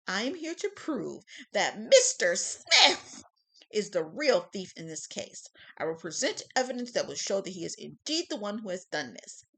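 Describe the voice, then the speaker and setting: A blunt, pushy male prosecutor hammers at the witness, as a woman acts him out. He speaks loud and pressing, with a lively up-and-down pitch. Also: moderate pace, creaky, poor audio. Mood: accusing.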